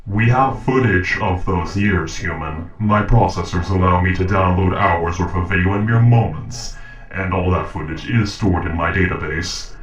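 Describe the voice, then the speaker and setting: A man playing a calm, earnest young robot captor in an audio roleplay, speaking formally and precisely. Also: low-pitched, echoey room, recorded from a distance, dull audio, lightly acted. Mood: earnest, insistent. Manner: formal, measured, polite, precise. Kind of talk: scripted audio roleplay drama.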